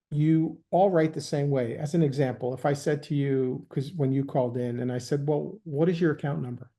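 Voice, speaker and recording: A man with a dark, mellow voice talks through business topics at an even, measured pace. He fills his explanations with ums and uhs as he goes. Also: on the high side. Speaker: guest, sales executive. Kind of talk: business interview.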